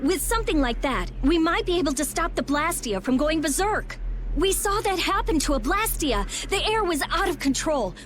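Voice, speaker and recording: A female actor voicing a blunt, brainy teenage girl researcher in a video game, brisk and insistent. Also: extremely high pitch, lively intonation, a little hiss or hum. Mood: urgent, insistent. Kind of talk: English video game voice acting.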